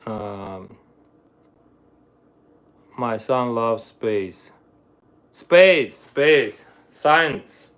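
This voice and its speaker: A middle-aged man speaks English with an Armenian accent, slowly and without hurry. He pauses often with "uh" as he hunts for words, and his grammar slips now and then. His voice sits at an ordinary middle pitch. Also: animated, a bit bright, clean tone. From Armenia, grew up in the Soviet Union. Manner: searches for words, fillers, broken grammar. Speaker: livestream host, violinist. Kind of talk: casual live-stream chat with viewers.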